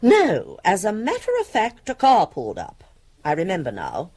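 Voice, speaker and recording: A woman's voice: fairly low pitch, huge pitch swings, moderate pace, a little mellow, breathy edge, full character voice. A woman acting a prim, fussy middle-aged housewife in a radio drama, speaking formally and precisely. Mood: recollecting.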